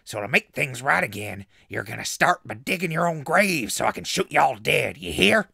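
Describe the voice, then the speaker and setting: A raspy, forceful hillbilly hermit in a post-apocalyptic radio comedy. He has a rural Southern accent and threatens in folksy, broken grammar, with lively swings of pitch. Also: moderate pace, no room sound, clean studio sound, full character voice. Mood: menacing. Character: hot-tempered backwoods hillbilly hermit with an old rifle. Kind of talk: post-apocalyptic comedy audio drama acting.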